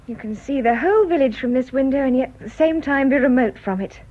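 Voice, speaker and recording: Young British fiancée: high, lively, earnest and direct, muffled radio drama with some noise. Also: female voice, quick pace. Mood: polite. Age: young adult. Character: earnest, polite, direct young engaged woman.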